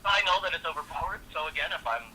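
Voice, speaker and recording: A man's voice: fairly high pitch, lively intonation, fast talker, a crowd in the background. A man working as a customer support agent on a phone call, speaking in a polite, informal and apologetic way. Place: on the other end of a phone call. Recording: phone line with faint noise.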